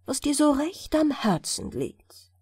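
A kindly emperor from a fairy tale, read by a woman, speaks with gracious formality and gratitude. The pitch sits fairly high and moves in lively swings, at an unhurried pace. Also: no room sound, studio quality, exaggerated voice. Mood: grateful, gracious. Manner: gracious, formal storybook speech. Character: kindly, grateful emperor, a grown man.

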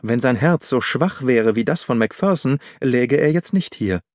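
Man acting agitated, urgent gentleman. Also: mid-range voice, lively intonation, normal speed, no echo, studio quality, natural voice. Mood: shaken. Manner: urgent, exclaiming. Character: agitated gentleman, breathless from rescuing a dying companion. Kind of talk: audiobook character dialogue.

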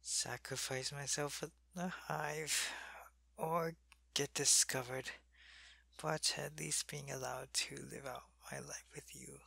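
A feverish alien changeling soldier, voiced by a man for an ASMR roleplay, loyal to his queen and weak from illness. He talks slowly, in a soft, gravelly, husky half-whisper, with a bright, sharp edge to the tone, and the recording is close and dry. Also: mid-range voice, steady tone, dry recording, full character voice. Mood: weary, ill. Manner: soft, weary, often whispering. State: sick and weak, in pain.

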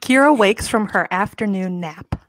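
A woman's voice: mid-range voice, animated, normal speed, dry recording. A woman in her thirties reading stage directions as scripted narration for a live table read. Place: home room, indoors, on a video call.

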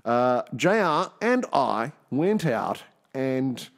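Middle-aged Australian male co-host, mid pitch, chatty, repeats himself, laughs easily. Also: big pitch swings, drawn-out words, gravelly. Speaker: podcast co-host. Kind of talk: casual chat.

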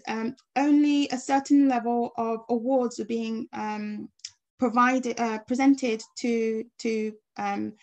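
Woman on a zoom panel, higher voice, lots of ums. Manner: hesitant, many ums. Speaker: guest speaker. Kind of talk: online panel talk. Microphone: video call.

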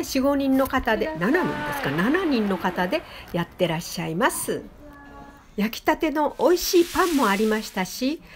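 A woman, presenting a vlog politely and in an animated, sing-song way. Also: fairly high pitch, slight background noise. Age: 70s. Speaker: host, flower designer. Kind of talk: vlog narration to viewers.

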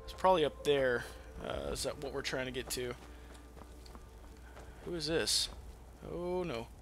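A man's voice: mid-range voice, measured pace, fairly bright tone, slight background noise. A man giving casual, rambling let's play commentary, with some swearing. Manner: rambling, profane. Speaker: gaming video host.

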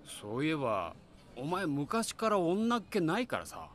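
Man acting wistful middle-aged father, very expressive. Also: a little high, a little slow. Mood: chummy. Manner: reflective storytelling alternating with hearty, coaxing dialogue. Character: middle-aged family man, wistful and kindly, narrating his story. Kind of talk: Japanese voice drama, narration and dialogue.

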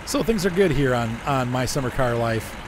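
A hoarse man chatting casually as he streams a driving game, rambling and answering his chat. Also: medium pitch, lively intonation, normal speed. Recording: noisy game sound behind.

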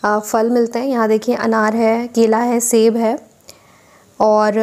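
A woman's voice: fairly high pitch, mellow. A woman vlogger narrating a voice-over tour of a weekly market, listing goods and prices for her viewers.

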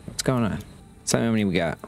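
A man's voice: fairly low pitch, brisk, gravelly, darkish tone, soft background music. A man casually commenting on his factory-building game as he plays, thinking aloud as he checks production. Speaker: gaming channel host.

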